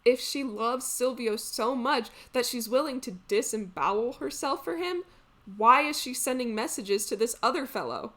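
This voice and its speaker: A woman's voice: a little high, moderate pace, fairly bright tone, natural voice. A woman playing a sassy, exasperated lady's maid in a stage farce, complaining wryly as if to an audience. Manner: wry, complaining, addressing the audience. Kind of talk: theatrical comic monologue.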